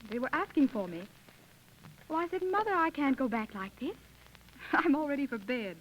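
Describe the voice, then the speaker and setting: A woman's voice: sits high, sing-song, normal speed. An eighteen-year-old American woman answering questions as a guest in a scripted 1930s radio interview. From United States. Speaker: interview guest, contest winner. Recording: dull, hissy 1930s radio recording.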